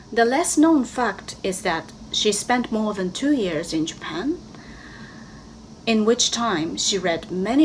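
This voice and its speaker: Over faint background noise, a woman with a medium-pitched voice gives a scripted scholarly presentation. She speaks as an author introducing her own work in formal sentences. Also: poor audio. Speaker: academic author.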